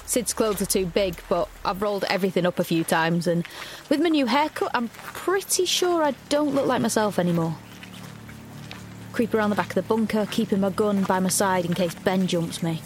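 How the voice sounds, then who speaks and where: An actress playing a despairing, isolated young rebel in a dystopian audio drama, narrating her ordeal in an introspective, halting way. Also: mid-range voice, a little hiss or hum, lightly acted. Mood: wary relief. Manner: introspective, halting, self-questioning.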